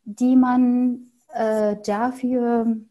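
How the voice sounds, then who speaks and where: A young woman from Syria, Arabic native speaker, answering interview questions thoughtfully with many pauses and fillers, over a video call from a small home room. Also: on the high side, flat delivery, mellow, low-quality recording. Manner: thoughtful, hesitant with frequent fillers. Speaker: podcast guest.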